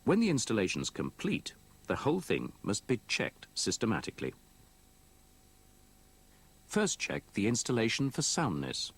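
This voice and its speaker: A man reads scripted instructional narration in a measured, methodical, explanatory way, copied from an old VHS tape. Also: fairly low pitch, wildly swooping pitch, gravelly, husky. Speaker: training film narrator.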